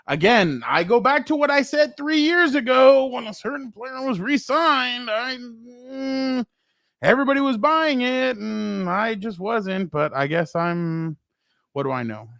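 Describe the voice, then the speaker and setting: A man co-hosting a casual sports talk show, loud and rambling, often laughing and trailing off. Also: extremely high pitch, animated, measured pace, no echo, clean studio sound. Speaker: co-host.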